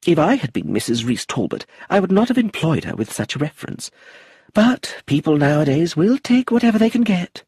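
Man doing prim old aunt, high fluttery voice, husky, lively swoops, dry sound. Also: brisk, no room sound, full character voice. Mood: prim gossip. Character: fussy, chattering older aunt, prim and gossipy.

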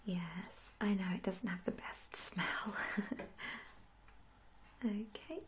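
A young woman in a soft-spoken ASMR roleplay, gentle and reassuring, with a breathy, often whispery voice. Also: medium pitch. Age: young adult. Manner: gentle, unhurried, polite reassurance. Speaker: ASMR roleplayer as a tattoo artist. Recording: audible background noise and hiss.

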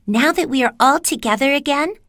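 A woman's voice: high voice, a little fast, a bit bright, dry recording, clean studio sound, voice pushed up. A woman voicing an earnest, determined young girl in a storybook, speaking eagerly.

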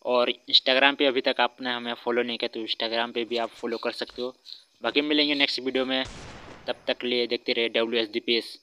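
A man's voice: mid-range voice, little pitch movement, fairly quick, sharp tone, smooth, rough recording. A man giving casual commentary as host of a gaming video.

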